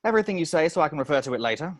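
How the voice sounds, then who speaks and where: A man reading the part of a stern, deadpan police sergeant who goes strictly by the rules. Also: on the high side, racing, dry recording, clean studio sound. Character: stern, rule-bound male police sergeant.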